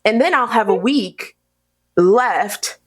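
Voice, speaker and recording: A young adult woman co-hosting a podcast, chatting in an animated, playful, slangy way while recovering from the flu. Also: on the high side, takes it slowly. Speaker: podcast co-host. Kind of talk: casual chat.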